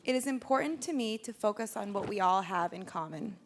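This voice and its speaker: An American woman living in San Francisco introducing herself, sincere and steady. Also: medium pitch, a little fast, slight background noise. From United States. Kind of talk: personal introduction at a conference.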